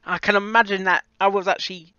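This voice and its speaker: Man, casual unscripted vlog talk, forceful bright tone, halting, repeats himself, dry lo-fi sound. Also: sits high, flat delivery, clear, resonant tone, no echo. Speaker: amateur voice actor reviewing a fan fiction audio reading.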